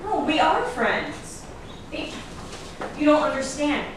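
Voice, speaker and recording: A woman's voice: sits high, very animated, slow pace, heavily acted. A woman playing a detective's meddling wife in a staged drama reading, earnest and insistent, often hesitant. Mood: flustered. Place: echoey room at a staged reading. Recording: echoey room, distant mic, noisy background.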